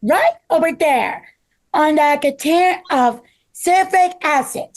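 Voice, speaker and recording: High, slow-spoken curious young girl played by a woman. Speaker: actor in a table read. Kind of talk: scripted table read.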